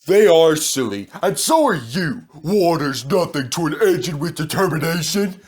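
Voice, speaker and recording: A man puts on a vain big male steam engine from a children's storybook. He sounds huffy and scornful, looking down on everyone. The pitch sits somewhat raised and moves expressively. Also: lively intonation, moderate pace, natural voice.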